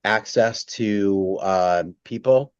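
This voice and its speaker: Low-voiced, unhurried man, slightly dull sound. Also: smooth, dry recording. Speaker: theater director being interviewed. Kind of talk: casual interview talk.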